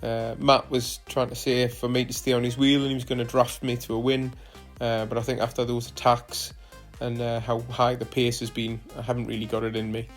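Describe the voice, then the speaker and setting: A man narrating footage of his own rides as a cycling vlogger, relaxed and hesitant, with frequent fillers. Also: mid-range voice, fairly quick, hardly any pauses, soft background music. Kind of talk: casual voice-over narration of ride footage.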